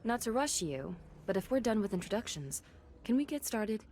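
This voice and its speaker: A woman's voice: fairly high pitch, sing-song, normal speed, dark tone, slight background noise. A woman playing a confident, businesslike investigator in a video game, matter-of-fact and self-assured. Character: confident, businesslike female investigator with a dry edge. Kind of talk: scripted video game cutscene acting.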